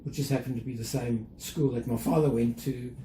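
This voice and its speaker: Older South African man, dark voice. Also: mid-range voice, fairly even intonation, roomy sound, slight background noise. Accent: South African English. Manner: measured, hesitant with many fillers and restarts. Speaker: guest. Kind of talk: conversational interview.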